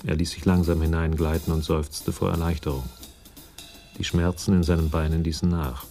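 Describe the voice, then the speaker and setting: Low, gravelly crime story narrator. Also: male voice, flat delivery, moderate pace, mellow, faint music behind. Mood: calm, storytelling. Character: composed male narrator of a detective story. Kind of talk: scripted narration in radio drama.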